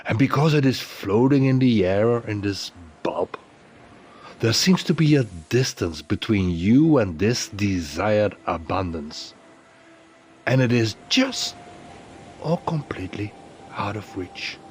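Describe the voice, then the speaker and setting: A man narrating a guided meditation in a soothing, deliberate way, leaving pauses between phrases. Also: fairly low pitch, expressive, darkish tone, slight background noise.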